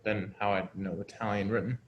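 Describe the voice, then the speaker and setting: A man's voice: on the low side, fairly even intonation, brisk, highs cut off. Young male student over faint background noise.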